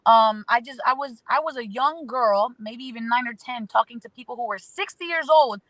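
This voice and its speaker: A woman's voice: a little high, normal speed, a bit bright, no echo, low-quality recording. A woman appearing as an astrology guest, giving spiritual advice in an emphatic way that stacks phrases into lists.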